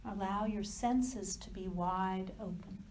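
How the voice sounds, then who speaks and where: A woman leading a guided yoga nidra meditation as a teacher, speaking in slow, soothing, unhurried phrases with pauses between them. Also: medium pitch, darkish tone, a little hiss or hum.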